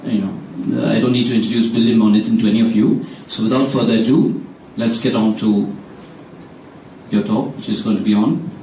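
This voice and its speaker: A man's voice: lowish voice, fairly even intonation, moderate pace, warm tone, a little hiss or hum. A man with an Indian accent introducing speakers at a student event, teasing and joking. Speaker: vice president, introducing speakers. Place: lecture hall. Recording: echoey hall, distant mic.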